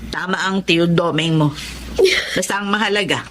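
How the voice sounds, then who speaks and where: A woman's voice: fairly low pitch, normal speed, background noise, close to an everyday voice. A Filipina actress playing a protective, forgiving older aunt in a radio drama, emphatic and motherly. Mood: indignant, consoling.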